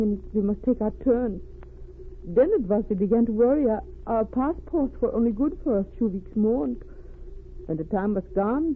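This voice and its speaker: A haunted refugee wife played by a woman tells of her ordeal. Her phrasing has foreign word order, and the grief sits in every pause. It is vintage radio drama, narrow and tinny with a light layer of noise. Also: fairly high pitch, lightly acted. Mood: wistful, sorrowful. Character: distraught refugee wife haunted by a smuggler's crime. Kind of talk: old radio drama acting.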